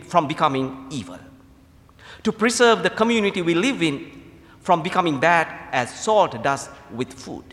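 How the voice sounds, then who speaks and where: Sri lankan guy preaching like a priest, voice kinda high and swinging up and down, big echoey church sound. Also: fairly bright tone. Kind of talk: sermon. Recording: echoey hall with long reverb.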